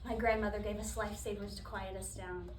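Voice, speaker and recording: A woman performing a wistful, grieving daughter in a dramatic monologue, speaking in a subdued, confessional, halting way. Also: mid-range voice, level tone, close to an everyday voice. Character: wistful woman recalling childhood grief for her astronaut mother. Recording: echoey room, distant mic, background noise.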